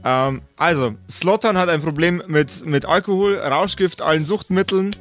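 A man hosting as game master in casual tabletop chat, halting and repeating words as he improvises questions. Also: a little high, a bit bright. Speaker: game master and podcast host. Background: circus-style music.

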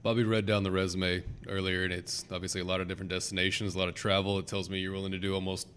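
A man co-hosting a casual sports podcast, his voice a bit low. He is animated and jokey and acts out little quotes as he sets up his questions. A faint rumble sits under the audio. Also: racing. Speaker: podcast co-host.

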